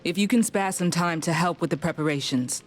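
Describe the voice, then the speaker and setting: An actor playing a rebel leader in a video game cutscene, measured and commanding as he plans an attack. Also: on the high side, close to an everyday voice. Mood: resolute, measured.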